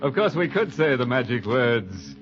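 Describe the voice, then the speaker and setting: An actor playing a jovially macabre, mockingly sinister horror show host in 1950s radio style, with a drawn-out, teasing delivery. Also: male voice, mid-range voice, sing-song, lightly acted. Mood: macabre glee. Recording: muffled old radio recording with music.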